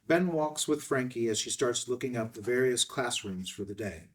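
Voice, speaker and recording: A man's voice: medium pitch, lively intonation, normal speed. Male screenplay narrator; mid-range voice, scripted stage directions, somewhat far from the mic.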